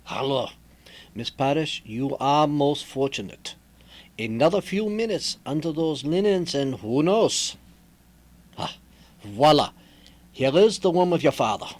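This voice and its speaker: A man's voice: fairly high pitch, sing-song, unhurried, pauses often, darkish tone. A man playing a clever, courtly detective in a mystery play, with a French-speaking European accent and a formal, theatrical manner. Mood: composed, knowing. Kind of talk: radio mystery play acting.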